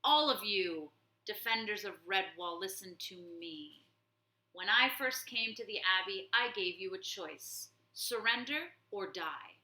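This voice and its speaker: A cruel rat warlord from a storybook, acted out by a woman, boastful and declamatory. The delivery is slow and forceful, with a roomy sound around it. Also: mid-range voice. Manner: declamatory, shouted threats.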